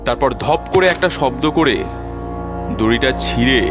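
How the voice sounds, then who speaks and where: A man's voice: mid-range voice, expressive, a little slow, lightly acted. A man playing a frightened lodger who tells his own ghost story in a dramatic horror cartoon style. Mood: tense narrating. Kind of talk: dramatised first-person horror cartoon narration. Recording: music under the voice.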